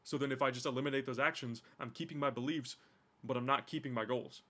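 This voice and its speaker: A man's voice: mid-range voice, steady tone, fast talker, bright, forceful tone. Casual vlogger man, swears a lot, circles and repeats himself while reflecting; has a stutter. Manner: loose, swearing, repeats words while thinking aloud. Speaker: vlogger reacting to a course video. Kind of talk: casual reaction commentary.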